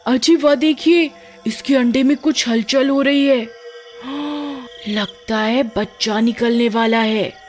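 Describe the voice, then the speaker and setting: A woman voicing a fussy, caring, excitable village wife in a cartoon, fretful and exclaiming. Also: fairly high pitch, measured pace, soft background music, natural voice. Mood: amazed.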